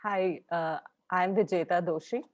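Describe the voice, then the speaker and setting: A woman's voice: fairly low pitch, unhurried, dry recording. A woman from India with an Indian accent, introducing herself politely as a professor. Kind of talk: self-introduction on a webinar.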